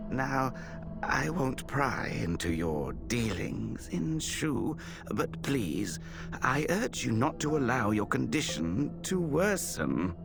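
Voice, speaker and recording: A grave, caring healer in a game cutscene. He speaks slowly and formally with a lively, expressive pitch over audible music. His manner is courteous and measured. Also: vocal fry, full character voice. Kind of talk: dramatic video game cutscene acting.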